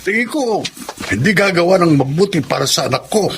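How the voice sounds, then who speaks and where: A man's voice: high-pitched, expressive, a little fast, gravelly, a little hiss or hum. An actor playing a stern, protective, aging father who scolds reproachfully, with a Filipino accent, in a radio drama. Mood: disapproving.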